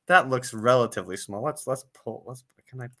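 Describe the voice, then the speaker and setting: A man's voice: mid-range voice, brisk, sharp tone. A man hosting a casual video interview, who rambles, jokes and stumbles over his words. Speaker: interviewer host.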